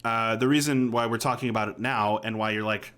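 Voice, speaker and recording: A man's voice: on the low side, fairly even intonation, a little fast, a bit bright, smooth. A man in his thirties chatting casually as a podcast co-host, talking about TV in an informal, rambling way from a room at home. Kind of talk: casual chat, reviewing TV shows. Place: home room, on a video call.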